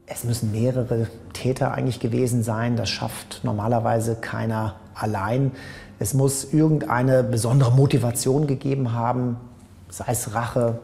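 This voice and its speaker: Male expert analyst, flat intonation, gravelly voice, thinking aloud in commentary. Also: mid-range voice.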